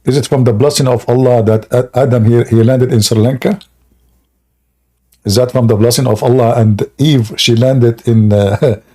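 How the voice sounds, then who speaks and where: A man's voice: mid-range voice, mellow. A man hosting a live call-in debate show, mocking and joking as he spins tall tales. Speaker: host.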